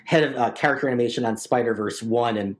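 A man in his forties from New York chats as a podcast guest, his pitch sitting in a plain middle range. He talks eagerly and loosely, circling back with fillers and false starts. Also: quick pace. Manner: eager, rambling, many fillers and restarts. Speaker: interview guest, visual effects artist. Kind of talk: casual interview chat.

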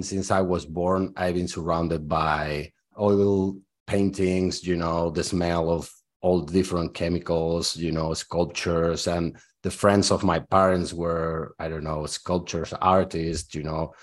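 A man from Spain in his forties, talking in English with a Spanish accent. His voice sits very low, and he answers interview questions in a relaxed, rambling way, leaning on fillers as he thinks. He comes across as candid and unhurried. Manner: thoughtful, loose, with frequent fillers. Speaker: guest artist.

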